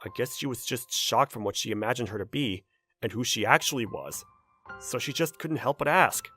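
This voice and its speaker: A man playing a level-headed, gently teasing young man in a manga dub, narrating and talking in a dry, blunt manner. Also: medium pitch, lively intonation, moderate pace, husky, soft background music. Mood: calm, probing.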